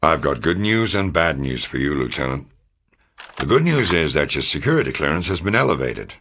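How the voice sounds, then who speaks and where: A man playing a gruff, fatherly, middle-aged American intelligence officer in a wartime video game, grave and authoritative, with a gravelly, husky voice. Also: a bass voice, big pitch swings, a little fast, a bit bright, a little hiss or hum, heavily acted. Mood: stern, wry. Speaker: military intelligence officer giving mission briefings. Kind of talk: scripted dramatic video game briefing.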